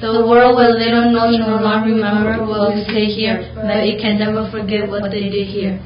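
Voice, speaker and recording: A young girl from Burma reciting a memorized speech to a meeting, in a steady, even way. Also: mid-range voice, moderate pace, crowd noise. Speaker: middle school student. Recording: echoey room, dull audio.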